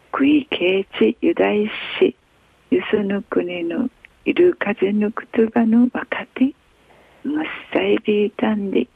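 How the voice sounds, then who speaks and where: A woman radio host reading a newspaper article aloud in a slow, measured way, with an Okinawan accent. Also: mid-range voice, gravelly, husky, no echo. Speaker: radio news reader. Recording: tinny phone-like radio sound.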